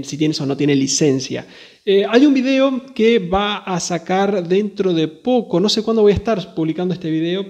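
A man hosts an informal hands-on tech demo. His voice sits a bit high for a man, with a marked creak running through it. He speaks casually and directly to his viewers. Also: darkish tone. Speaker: video host demonstrating radio gear.

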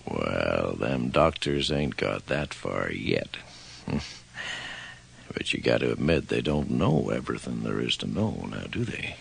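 A sinister old trainman in a radio horror play, with a bottomless, crackling gravel voice. He drawls slowly and folksily, like a sly stranger offering a dark bargain, husky and swinging in tone. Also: big pitch swings, full character voice. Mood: sly, tempting. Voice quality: very deep, gravelly. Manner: folksy rustic drawl, unhurried. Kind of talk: radio horror drama acting.

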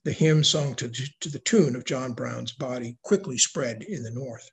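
Husky older man giving a history lecture. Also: medium pitch, measured pace. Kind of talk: prepared slide lecture. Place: indoor room at home, video call. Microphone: video call microphone.

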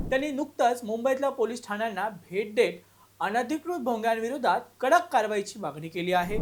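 A man's voice: very high-pitched, slight background noise. A man reading scripted news as a bulletin anchor.

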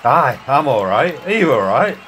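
An actor playing a contrary, grumbling football supporter in a radio play, blunt and argumentative. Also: male voice, medium pitch, very animated, normal speed, a bit bright, a little hiss or hum.

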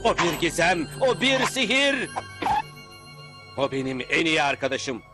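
A man's voice: fairly high pitch, sing-song, measured pace. A man voicing a small scheming bug in a children's cartoon, a theatrical, persuasive, bossy showman. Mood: showy, scheming. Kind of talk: animated children's cartoon acting. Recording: cartoon music behind the voice.

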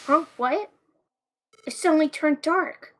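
A woman voicing a small, earnest, excitable young water creature in an expressive way for a game story. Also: high voice, takes it slowly. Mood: startled, defensive. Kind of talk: dramatic fan voice acting for a game story.

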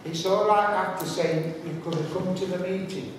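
A man's voice: high-pitched, fairly even intonation, moderate pace. Insistent man in an echoey council hall. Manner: insistent, repeats himself when pressing a point. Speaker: town councillor. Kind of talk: heated council debate. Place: large echoey public hall. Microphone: far room camera. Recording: echoey hall from far off, noisy.

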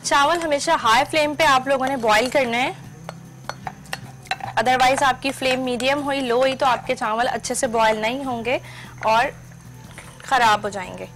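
High-voiced woman hosting a cooking show. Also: normal speed, long pauses, fairly bright tone, soft background music. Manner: chatty, instructive, mixes in English terms. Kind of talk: step-by-step cooking demonstration. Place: TV studio kitchen set.

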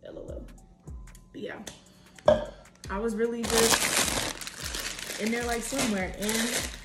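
A young woman in her twenties chatting casually to camera in a home kitchen, slangy and animated as she cooks. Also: on the high side, takes it slowly, roomy sound, loud music behind the voice. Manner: chatty, slangy, animated, talks while cooking. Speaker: vlogger. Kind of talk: casual vlog chat to camera. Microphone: camera on a tripod at a distance.

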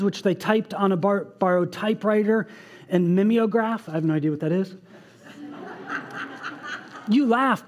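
A man in his thirties delivers a sermon from a church stage in a fairly high voice. He speaks earnestly, reading a passage aloud and breaking off for casual asides. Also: a little mellow. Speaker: pastor. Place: church stage with band behind.

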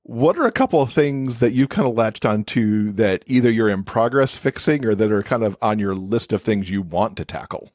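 A man's voice: fairly low pitch, expressive, normal speed, hardly any pauses, darkish tone, no echo, clean studio sound. A man speaking as an expert podcast guest, explaining things in a casual, conversational way.

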